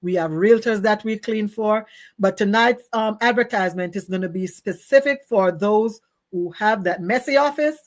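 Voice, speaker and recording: A woman's voice: medium pitch, normal speed. A woman hosting a talk show, chatting in an upbeat, casual way and laughing often.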